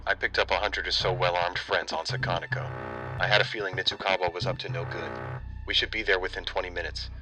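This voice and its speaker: A man playing a deep-voiced, confident space captain. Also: flat delivery, fast, music in the background, close to an everyday voice, low-quality recording. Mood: reassuring, urgent. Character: steady, reassuring male space-pirate captain. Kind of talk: radio drama acting.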